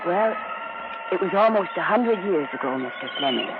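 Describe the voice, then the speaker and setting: A woman playing a grave local storyteller in an old radio drama, measured and solemn. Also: fairly low pitch, expressive, noisy background. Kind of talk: 1940s-50s American radio acting. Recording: muffled old radio, music behind.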